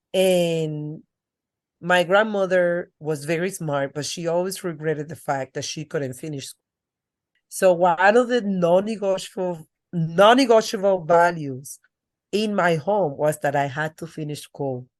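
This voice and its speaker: A middle-aged woman from the Republic, a podcast guest telling her life story earnestly, with restarts. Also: on the low side. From Dominican Republic. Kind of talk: podcast interview.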